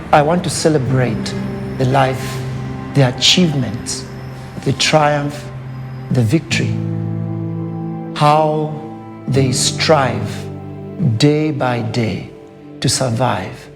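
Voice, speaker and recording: Gravelly man, measured talk. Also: mid-range voice. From Zambia. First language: Lugala. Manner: thoughtful, measured, with pauses and fillers. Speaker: artist. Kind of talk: documentary interview. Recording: interview with loud music behind.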